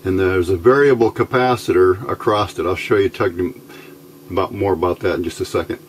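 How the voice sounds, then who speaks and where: A middle-aged man giving a casual hands-on tutorial, speaking in an unhurried, halting way as he thinks aloud. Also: low voice, slight background noise. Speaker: electronics hobbyist host. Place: indoor workbench, hands filmed from overhead.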